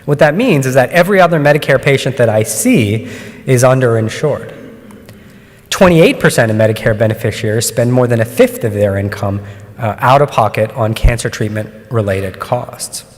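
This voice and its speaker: A man of medium pitch lectures as an oncologist, speaking in a measured, explanatory way and often stopping on ums to restart a phrase. His voice rings with the long reverb of a large hall, picked up by a lectern microphone. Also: expressive. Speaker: oncologist giving a talk. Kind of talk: conference presentation.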